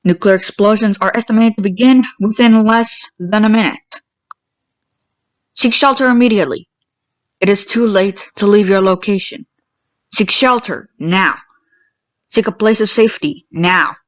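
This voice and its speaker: A woman delivering a scripted emergency warning broadcast in a formal, impersonal, measured manner. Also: mid-range voice. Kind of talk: scripted emergency alert announcement.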